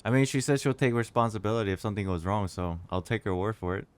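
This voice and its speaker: A man's voice: on the low side, quick pace, smooth. A man giving casual, off-the-cuff live reactions as a game streamer.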